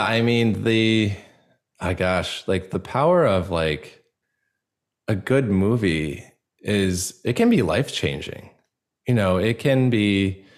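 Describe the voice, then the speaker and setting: A man speaking as an interview guest in a casual, earnest way, pausing often as he searches for words. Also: fairly low pitch. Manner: halting, searching, full of pauses and filler likes.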